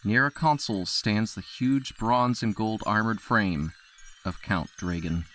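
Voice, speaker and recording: A male narrator reads a scripted audio drama. His voice is on the low side, with a bright, forceful edge. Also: unhurried.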